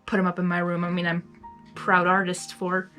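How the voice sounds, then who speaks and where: Woman reading fan fiction out loud, giggly and expressive, reacts as she goes, bit of music behind. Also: on the low side, moderate pace. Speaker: fan fiction reader and host. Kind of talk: live blind reading of a story with excited reactions.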